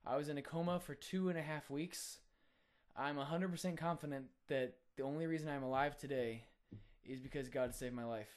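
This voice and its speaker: A man's voice: fairly high pitch, fairly even intonation, a little fast. Earnest young man telling his story. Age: young adult. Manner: earnest, reflective, frequent "um" fillers. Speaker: college student sharing testimony. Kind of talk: personal testimony.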